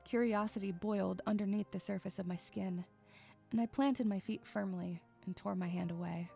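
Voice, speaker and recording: A woman playing an irritable, sarcastic, troubled young female loner, narrating a first-person audio drama with expressive, sighing delivery. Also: medium pitch, normal speed, vocal fry, soft background music, close to an everyday voice. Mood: tense, brooding.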